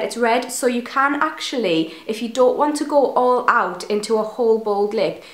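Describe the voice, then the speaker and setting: A woman's voice: a little high, reverberant. A young woman in her twenties, a beauty vlogger chatting to camera in her bedroom, sounding a bit nasal from a cold and gushing about products. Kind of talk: casual product review to camera. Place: bedroom, indoors. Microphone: camera in front at close range.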